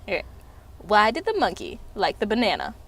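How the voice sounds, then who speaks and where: A woman voicing Tuna, a cheerful puppet who sings a slow rhyming song and tells silly jokes to children. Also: mid-range voice, wildly swooping pitch. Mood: playful. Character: cheerful joke-telling puppet named Tuna. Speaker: children's puppet show performer.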